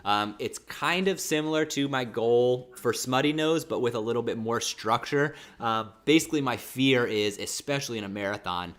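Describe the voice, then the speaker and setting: A man's voice: mid-range voice, moderate pace, hardly any pauses, a bit bright. A man hosting a casual livestream, chatting in a rambling, self-correcting way while getting over a mild cold with a slightly sore throat. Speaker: livestream host. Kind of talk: casual livestream chat with viewers.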